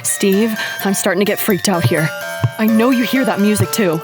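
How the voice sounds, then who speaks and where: A woman's voice: mid-range voice, normal speed, music in the background, lightly acted. A woman playing an anxious wife and mother in a haunted-house audio drama. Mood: nervous, pleading.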